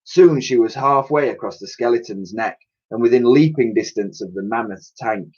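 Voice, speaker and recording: Husky man reading a storybook dramatically. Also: mid-range voice, normal speed, darkish tone, lo-fi sound. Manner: theatrical, builds suspense with emphatic sound words. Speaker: teacher reading a children's book aloud.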